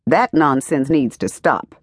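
A woman's voice: very deep voice, expressive, measured pace, husky, no room sound, studio quality, exaggerated voice. A woman voicing a stern, no-nonsense mother with a curt, scolding manner in an audiobook. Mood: irritated.

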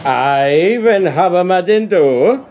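An actor playing a menacing marauder soldier in a radio play, mocking and threatening. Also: male voice, high voice, animated, slow, dull audio, faint music behind, heavily acted. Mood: mocking menace.

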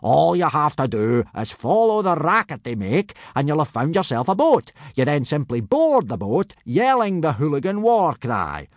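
Booming, pompous Viking warrior instructor. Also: male voice, wildly swooping pitch, normal speed, few pauses, dry recording, clean studio sound. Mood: smug, commanding. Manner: bellowing, boastful, commanding.

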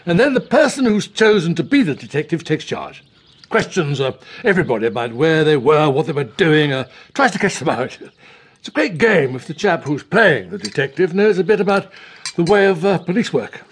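A man's voice: fairly high pitch, big pitch swings, moderate pace, slightly rough. An actor playing a pompous older Englishman in a radio drama, hesitant and blustering, with clipped phrases. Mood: eager, explaining. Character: pompous older English husband, ex-colonial police type.

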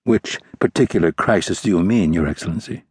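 A man voicing a grey-haired male military attaché who speaks slowly, carefully and formally. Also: low-pitched, a little mellow, breathy edge, no echo, studio quality. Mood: guarded.

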